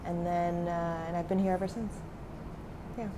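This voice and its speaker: A woman's voice: lowish voice, level tone, normal speed, mellow, a little hiss or hum, low-quality recording. A young adult woman who grew up in Switzerland, talking casually as a startup founder in an interview, with many fillers.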